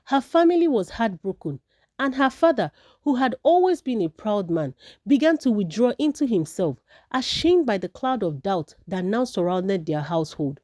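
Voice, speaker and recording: A woman's voice: medium pitch, very animated, normal speed, darkish tone, no echo, clean studio sound. A woman narrating a folktale from a script as a storyteller.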